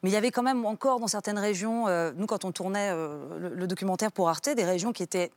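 A woman who is a documentary journalist on a television panel, eager and quick to jump into the discussion. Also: mid-range voice, darkish tone, no room sound.

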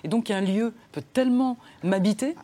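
A woman born in France, a crime novelist guest, answering interview questions thoughtfully and softly, pausing to search for words. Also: mid-range voice. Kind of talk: reflective studio interview talk. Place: TV studio.

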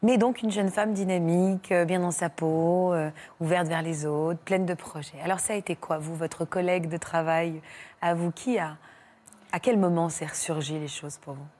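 A woman hosts a daytime talk show, drawing her guests out with gentle questions. Her voice sits low and she keeps up soft murmurs of agreement while they speak. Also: steady tone, moderate pace. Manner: gentle prompting with murmured assents. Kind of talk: empathetic interviewing. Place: television studio.

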